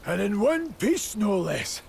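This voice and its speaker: An actor playing a gruff, pragmatic soldier and leader in a video game, brisk and commanding with a gravelly voice. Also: male voice, high voice, sing-song, a little mellow, slight background noise, heavily acted. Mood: dry, pragmatic. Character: seasoned, pragmatic male soldier leading comrades, gruff.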